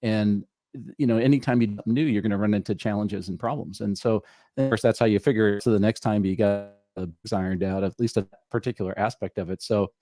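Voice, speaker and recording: A man with a fairly low, warm and relaxed voice hosts a casual interview. He thinks aloud in halting phrases, repeating words as he goes. The recording is dry and free of room sound. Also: no room sound. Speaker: interviewer, podcast host.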